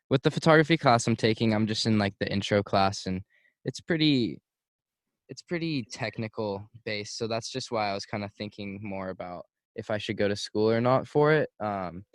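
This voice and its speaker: A man hosts a casual podcast chat, leaning on filler words as he talks. His voice sits low. The sound is dry and close. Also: moderate pace, no echo. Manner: relaxed, frequent "like" and "um" fillers. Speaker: podcast host. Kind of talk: casual conversation.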